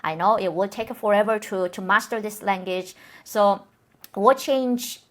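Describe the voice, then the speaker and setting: Woman speaking careful Korean-accented English. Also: medium pitch. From North Korea. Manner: careful, halting English with frequent restarts. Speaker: host. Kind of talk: conversational interview.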